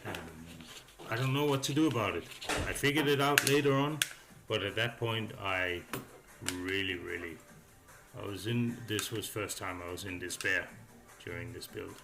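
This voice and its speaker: A man with a low voice narrates a hobby review in a casual, off-the-cuff style. He thinks aloud and rambles a little, often hesitating over his words. Music plays clearly underneath his voice-over. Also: normal speed. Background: background music.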